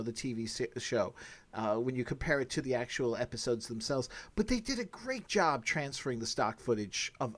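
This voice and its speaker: Animated male podcast host. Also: medium pitch. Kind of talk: casual TV episode discussion.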